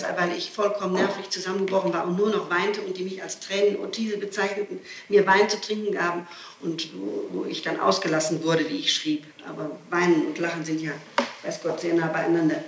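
A woman speaks on an old interrogation tape, sounding distant in an echoing room with faint hiss. She is insistent and agitated, firing off rhetorical questions. Her sentences often break off and start again as she pushes her points. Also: lowish voice. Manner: digressive, breaks off and restarts sentences. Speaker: person being questioned by police. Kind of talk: spontaneous statement in an interrogation. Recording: old archive tape, roomy, distant, hissy.

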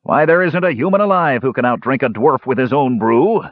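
A man voicing a boastful, jovial, hard-drinking bearded dwarf in a fantasy audiobook, with loud roaring speech. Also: mid-range voice, sing-song, moderate pace, no room sound, phone-quality sound, voice pushed up. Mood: indignant, roaring.

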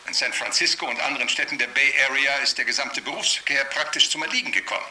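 A man's voice: mid-range voice, fast, sharp tone, slight background noise, rough recording. An actor playing an alarmed, self-assured male cult expert, lecturing formally and emphatically in a radio drama interview. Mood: alarmed, insistent. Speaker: TV studio expert being interviewed. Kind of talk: radio drama acting as broadcast expert commentary.

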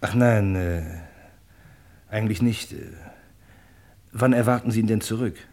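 A man's voice: lowish voice, darkish tone, lightly acted. An actor playing a hesitant, conscience-torn man in a German radio crime drama. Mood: nervous, polite. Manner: hesitant, wavering, halting.

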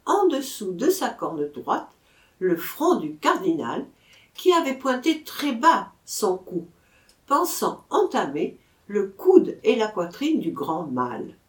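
Female literary narrator: unhurried, dramatic phrasing, animated melody, recorded in a roomy space. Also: medium pitch. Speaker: audiobook narrator. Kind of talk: expressive literary story narration. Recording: roomy, echoing room sound.

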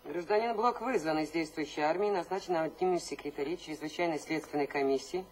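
An actress playing a brisk, formal revolutionary secretary reading out official statements in a radio drama. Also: female voice, deep voice, a little mellow, a little hiss or hum, natural voice, rough recording. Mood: official, stern.

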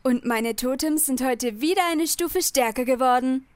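A woman's voice: high voice, moderate pace, no room sound, close to an everyday voice. A woman playing a patient, dutiful young niece who soothes her uncle in a parody audio drama. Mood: soothing, patient.

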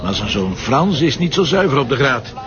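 An actor playing a blunt, wry Flemish police commissioner in a radio drama. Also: male voice, medium pitch, big pitch swings, moderate pace, crowd noise, natural voice. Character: gruff beer-loving male police commissioner, middle-aged. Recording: muffled old radio play recording.

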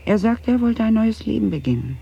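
An actress playing a haunted middle-aged woman in a German radio drama, confiding grave family guilt in a halting, husky voice. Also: a little high, sing-song, mellow, slight background noise. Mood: troubled. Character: haunted Dutch woman confessing her family's wartime guilt. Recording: slightly dull 1980s radio recording.

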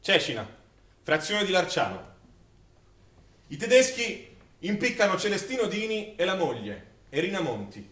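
A male actor playing a grave, anguished witness who narrates a wartime massacre in solemn, declamatory stage recitation with deliberate pauses. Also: sits high, very animated, fairly bright tone. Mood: grave, tense. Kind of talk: dramatic theatrical recitation of wartime testimony. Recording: very echoey, distant stage recording.

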